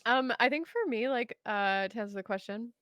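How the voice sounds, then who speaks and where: A woman in her late twenties, a streamer, talking in a casual panel chat in a halting way with many fillers. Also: fairly high pitch, no echo, dull audio. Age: late 20s. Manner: halting, lots of fillers, laughs easily.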